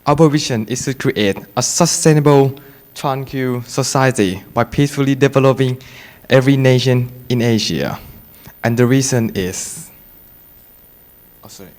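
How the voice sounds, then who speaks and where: A man's voice: mid-range voice, moderate pace, darkish tone. A young man from Thailand opening a student group presentation in a formal manner, addressing the audience. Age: young adult.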